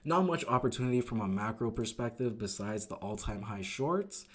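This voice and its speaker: A man hosting a trading live stream, recapping the market in casual, upbeat talk that keeps asking "right?", his voice growing a little raspy. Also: on the low side, expressive, normal speed, fairly bright tone. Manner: enthusiastic, repeats words, asks "right?" often. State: voice getting raspy.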